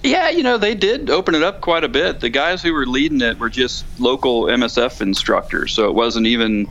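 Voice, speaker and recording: A man's voice: medium pitch, animated, fairly quick, fairly bright tone, low-quality recording. A man chatting casually and a bit hesitantly as a guest correspondent on a call-in, rambling in a folksy way. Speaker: guest correspondent calling in to a motorcycle podcast. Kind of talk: casual interview chat.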